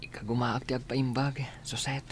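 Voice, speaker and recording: A man's voice: mid-range voice, little pitch movement, moderate pace, faint music behind, close to an everyday voice. Subdued, determined schoolboy played by a man. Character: gentle, determined ailing schoolboy, devoted son. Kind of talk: radio drama acting. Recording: faint background ambience and noise.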